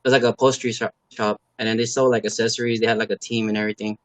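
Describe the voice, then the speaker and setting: A man from Los Angeles, a native Khmer speaker, talking casually as an interview guest in a halting, filler-heavy way. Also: fairly low pitch, little pitch movement, no echo. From Los Angeles, USA. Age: adult parent. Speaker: guest, car builder and YouTuber.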